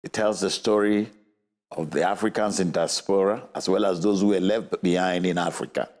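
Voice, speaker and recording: A man with a Nigerian accent, an author presenting and reading his own novel in a measured literary style. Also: sits low, fairly even intonation. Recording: loud music under the voice. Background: loud music.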